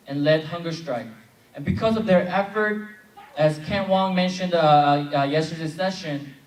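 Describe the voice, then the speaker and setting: Young man, from south korea, convention moderator, leads chants, higher voice, echoey hall, heard from a distance. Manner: leads call-and-response chants. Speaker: plenary moderator. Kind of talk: convention speech. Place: large convention hall with audience. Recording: echoey hall, distant mic, crowd noise.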